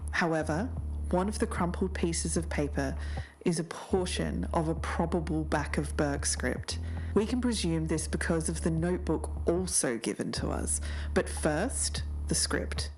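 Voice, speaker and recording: An actress playing an earnest podcast investigator, co-hosting with scripted narration. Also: female voice, lowish voice, a little mellow, a little hiss or hum, lightly acted, rough recording. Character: earnest female podcast investigator of a lost show. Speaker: podcast co-host. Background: faint music.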